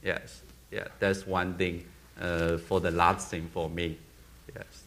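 A man in his thirties with a Burmese accent, a film director answering audience questions on a theater stage, halting and often restarting as he searches for words. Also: low-pitched, flat delivery, a bit bright. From Myanmar.

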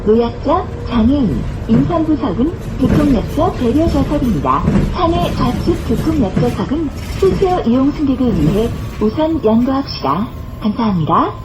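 High-pitched woman making formal bus announcements. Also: very animated, moderate pace, loud music behind the voice, rough recording. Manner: formal, carefully enunciated. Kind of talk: scripted public transit announcement. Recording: clear vehicle noise throughout. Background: bus interior noise.

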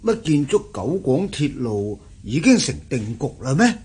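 Down-on-his-luck male merchant, very husky rasp, animated pitch, sighing, wheedling, radio drama. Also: a little slow, full character voice. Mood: curious, probing. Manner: sighing, plaintive, probing. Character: downcast, wheedling businessman fallen on hard times. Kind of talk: Cantonese radio drama acting.

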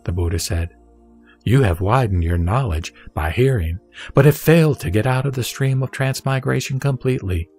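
A man giving a formal, measured, solemn narration of scripture for an audio drama. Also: medium pitch, a little mellow. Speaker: narrator and reciter. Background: music.

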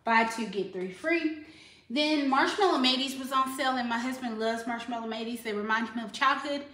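A woman's voice: fairly high pitch, moderate pace, pushed and bright. A woman in her thirties chatting casually to viewers in a grocery haul vlog, filmed in her home kitchen. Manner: chatty, addresses viewers directly. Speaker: vlogger. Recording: echoey kitchen with faint noise.

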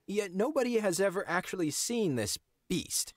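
Young lordling in a game, kinda high voice, polite n awkward, really animated. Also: male voice, normal speed, no room sound, studio quality. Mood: formal. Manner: hesitant, earnest, flustered. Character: awkward, polite young male noble lord. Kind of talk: video game character acting.

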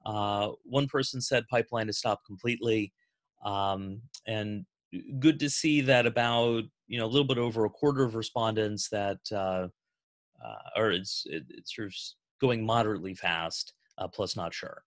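A man hosting a business Q&A on a video call, speaking in a measured way with frequent fillers. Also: fairly low pitch, no echo. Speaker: host of agency Q&A.

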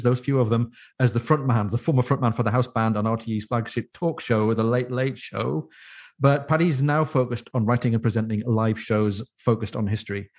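A man co-hosting a podcast, explaining and introducing a guest in a measured way. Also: mid-range voice, a little mellow. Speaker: podcast co-host. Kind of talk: casual podcast discussion.

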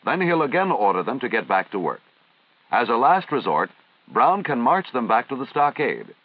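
An American man reading formal, measured, instructional narration in the style of a 1950s military training film. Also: mid-range voice, very animated, dry recording. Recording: dull, band-limited vintage film soundtrack.

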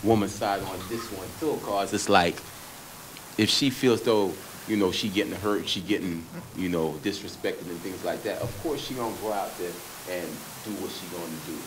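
A man's voice: deep voice, moderate pace, noisy background. A man with a Jamaican accent co-hosting a casual radio talk show, rambling and checking if you understand. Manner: laid-back, rambling, keeps asking if you understand. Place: small radio studio. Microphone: studio boom mic.

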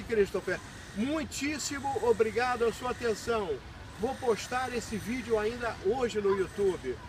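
A man, operating amateur radio in a courteous way and calling out spelled callsigns. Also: very high voice. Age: 60s. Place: outdoors in a park by a pool area. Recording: noisy outdoor recording with radio hiss.

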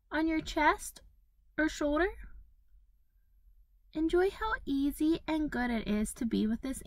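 Woman doing guided meditation, slightly high voice, really slow and gentle, dry close sound. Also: no echo. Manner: slow, soothing, gentle pacing with pauses.

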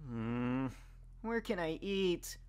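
A woman's voice: deep voice, big pitch swings, drawn-out words, darkish tone, smooth, full character voice. A woman voicing a whiny, childish, hungry young male cartoon hedgehog in an amateur comic dub, with music behind. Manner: whiny, pleading, exclaiming. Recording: background music under the voice.

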